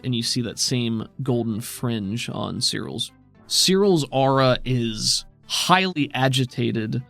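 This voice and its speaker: A man narrating a tabletop roleplaying game as game master, describing scenes in a measured, detailed way. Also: mid-range voice, creaky.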